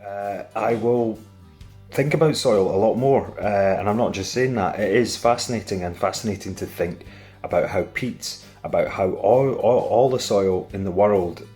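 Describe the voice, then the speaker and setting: A man's voice: fairly low pitch, normal speed, a little mellow. A man in his forties speaking candidly and conversationally in a reflective interview. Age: 40s. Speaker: voice actor in an audio drama. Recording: guitar music behind the voice. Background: guitar music.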